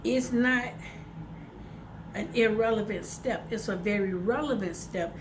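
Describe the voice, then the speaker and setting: A woman's voice: medium pitch, a little slow, noisy background. A woman with a congested, stuffed-up voice, talking casually and encouragingly to her viewers at home, full of fillers. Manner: chatty and informal, addresses viewers as y'all, many fillers. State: congested from sinuses. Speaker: vlogger. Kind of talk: casual motivational vlog to viewers. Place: at home, by a window.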